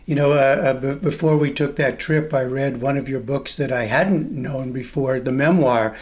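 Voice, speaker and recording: A man's voice: mid-range voice, moderate pace. A man interviewing a guest over a remote call, asking probing questions in a hesitant, searching way with frequent restarts. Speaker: interviewer, journalist. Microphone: remote video call.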